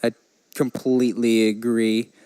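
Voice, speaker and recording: A man's voice: mid-range voice, little pitch movement, unhurried, dark tone, smooth, no room sound, low-quality recording. A man co-hosting a podcast, chatting casually about films like an opinionated, enthusiastic fan. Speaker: podcast co-host. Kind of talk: casual film chat.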